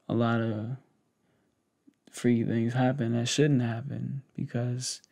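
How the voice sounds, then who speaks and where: A young American man speaks in a soft, conversational ASMR style. His voice is heavy with vocal fry and sits at an ordinary male pitch. He takes his time, pausing and hesitating as though confiding in one person close by. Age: 20s to 30s. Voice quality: gravelly, fry-laden. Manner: unhurried, hesitant, pausing talk addressed intimately to one listener. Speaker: ASMR creator. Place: small indoor setup with cloth backdrop. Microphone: close desktop condenser mic with pop filter.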